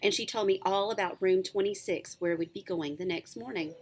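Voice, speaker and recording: A woman, a teacher reading a children's book aloud in an expressive, animated storytelling way. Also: mid-range voice, fairly quick, slightly rough.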